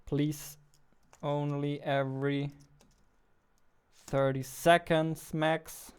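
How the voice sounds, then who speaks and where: A man in his twenties, a non-native English speaker, thinking aloud in casual, unhurried live coding commentary. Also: fairly high pitch, flat delivery, clean tone. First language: not English. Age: 20s. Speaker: indie game developer, streamer. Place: small indoor room at a computer.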